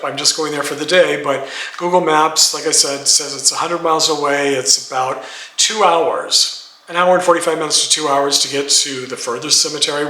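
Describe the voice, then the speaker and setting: A man's voice: a little high, sharp tone, echoey room, rough recording. An older man with a congested, stuffy voice, chatting casually in a home vlog and often correcting himself as he rambles. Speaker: vlogger. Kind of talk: casual talking-head vlog. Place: home in a desert town.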